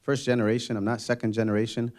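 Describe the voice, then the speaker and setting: Casting: a native Spanish-speaking man, mid-pitched, firm and emphatic candidate. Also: level tone, racing, a little mellow. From immigrant, first language Spanish. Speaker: board candidate. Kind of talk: candidate forum answers.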